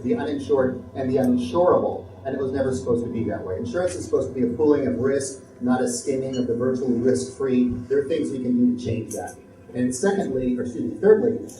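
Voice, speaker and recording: A man speaking as a panel guest in a debate, laying out his points one by one in a measured way. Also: mid-range voice. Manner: measured, lists points in order. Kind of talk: debate remarks. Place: tobacco shop, live radio show. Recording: echoey room from far off, background noise.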